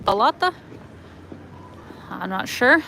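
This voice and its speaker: A Canadian woman in her thirties, her voice sitting a little high, talks in a loose and rambling way full of fillers. She records on a handheld phone out on city streets, with faint street noise behind her. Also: very animated, slow, gravelly, sharp tone, rough recording. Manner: chatty, rambling, many fillers and laughs. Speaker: travel vlogger. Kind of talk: live walking-tour chat.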